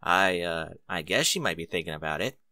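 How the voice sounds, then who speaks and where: A man's voice: deep voice, animated, fairly quick, pushed and bright, dry recording, voice pushed down, full character voice. A man voicing a young male dragon who is eager to please and awkwardly bashful, in a storybook reading. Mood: awkward, evasive.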